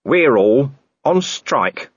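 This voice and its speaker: A man's voice: fairly high pitch, sing-song, moderate pace, a bit bright, breathy edge, dry recording, lo-fi sound, voice pushed up, heavily acted. A man voicing a cheeky, scruffy male corporal who talks in a cocky, offhand way. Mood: smug.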